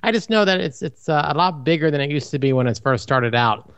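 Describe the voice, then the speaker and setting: A man's voice: on the high side, animated, a little fast, a bit bright, smooth, no room sound. A man with a light Baltimore accent co-hosts a podcast in a casual, dry tone. His voice sits a bit above the usual male range, and he sets up his provocative jokes with a straight face. The mic is close and the sound is dry. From Maryland, USA. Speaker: podcast co-host. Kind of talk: casual chat.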